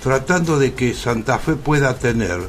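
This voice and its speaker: An older man with a Rioplatense Argentine accent, explaining things deliberately as a guest in a radio interview. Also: mid-range voice, soft background music. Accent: Rioplatense Argentine Spanish. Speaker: interview guest, theatre actor.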